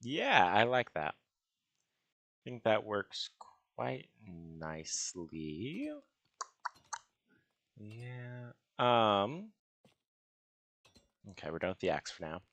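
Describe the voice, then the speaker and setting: A man with a fairly low, gravelly voice talks through a building game in a casual way. He speaks slowly, musing to himself and often hesitating, and the sound is close and dry. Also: big pitch swings, fairly bright tone, no echo. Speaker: gaming video host. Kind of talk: casual Minecraft building commentary, thinking aloud.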